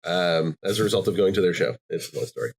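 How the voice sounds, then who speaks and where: A man's voice: sits low, steady tone, quick pace, slightly rough. A man hosting a casual podcast chat, rambling with lots of fillers and restarts. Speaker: podcast host.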